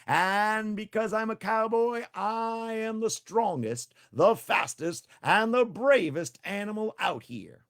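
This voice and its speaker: A man voicing a boastful little male animal who thinks he is a cowboy, bragging in a slow drawl. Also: high voice, fairly bright tone, pitched above the performer's own voice, full character voice. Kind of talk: storytelling for children.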